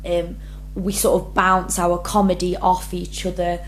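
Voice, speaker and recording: A woman's voice: fairly low pitch, monotone, unhurried, slight background noise. A young woman drama student, talking earnestly through her rehearsal blog and reading each question aloud before answering. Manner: earnest, many ums, reads prompts then answers.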